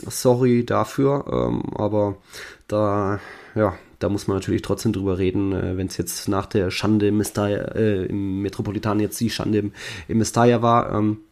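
A man's voice: fairly low pitch, flat delivery, normal speed, darkish tone. A man co-hosting a football podcast in casual conversation.

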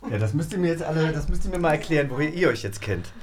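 Woman host chatting casually, excitable, pitch jumps around a lot. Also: racing. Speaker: podcast host. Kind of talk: casual chat.

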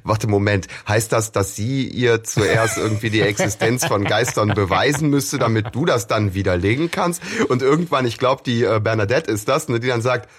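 A man with a lowish, somewhat husky voice talks about a radio play on a fan podcast. He is animated and keeps slipping into quoted character lines. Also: normal speed, hardly any pauses. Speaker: podcast co-host. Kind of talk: casual discussion of a radio play.

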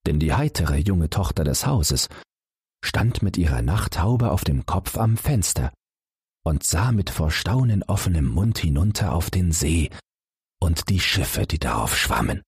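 A man reading a fairy tale as a calm bedtime story, gently addressing young listeners. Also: sits low, unhurried, slightly rough, no echo, clean studio sound. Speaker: audiobook narrator.

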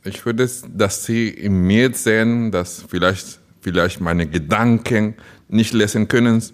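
A man from the Dominican Republic whose first language is Spanish, speaking with a Spanish accent as a guest on a podcast, earnest and slow, often searching for words. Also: on the low side. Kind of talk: podcast interview.

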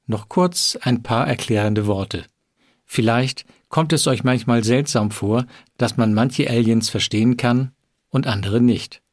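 A man's voice: medium pitch, a little mellow, no echo. A man delivers a scripted, explanatory introduction to an audio drama. He addresses listeners in a friendly way with a mid-range voice, recorded dry.

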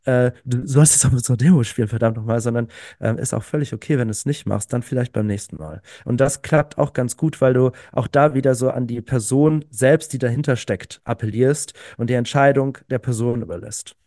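A man in his early thirties speaks as a podcast guest, earnest and enthusiastic, often stretching his sentences with fillers and repeating words for emphasis. His voice sits at a middle pitch. The sound is dry and close to the mic. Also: brisk, warm tone, no room sound. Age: 30s. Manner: earnest, enthusiastic, frequent "ähm" fillers and repetitions. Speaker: podcast guest, climate activist. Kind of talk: casual conversational interview.

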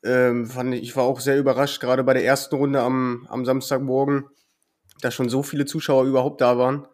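A man with a cold, a guest on a podcast, answering calmly with hesitant filler pauses. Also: mid-range voice. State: has a cold. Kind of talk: podcast interview.

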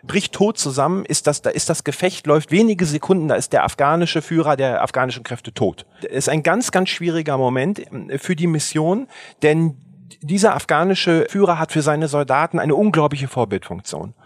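Middle-aged male journalist, animated storyteller, tenor-ish voice, dead-dry studio sound. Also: on the high side, normal speed, no echo. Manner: vivid, animated retelling with many restarts. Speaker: journalist and podcast guest. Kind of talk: conversational storytelling.

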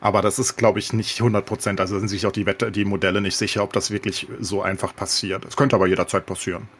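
A man with a fairly low voice talks very quickly. He explains things in a loose, rambling, colloquial way, as a podcast co-host chatting casually with English slang mixed in. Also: little pitch movement, fairly bright tone, slightly rough.

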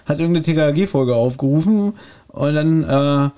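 Man, podcast co-host, chatty, rambling, laughs often, slightly high voice. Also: normal speed, mellow, clean tone. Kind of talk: casual chat.

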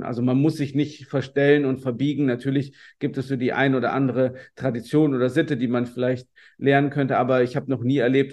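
German man telling stories casually. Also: medium pitch. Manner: relaxed, anecdotal, frequent fillers and restarts. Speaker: guest. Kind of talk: interview answers, storytelling.

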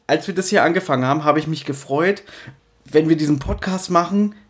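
A man's voice: on the high side, a little fast. A man co-hosting a podcast in casual, rambling banter with a teasing, mock-serious tone. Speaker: podcast co-host. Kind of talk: casual banter about audio dramas.

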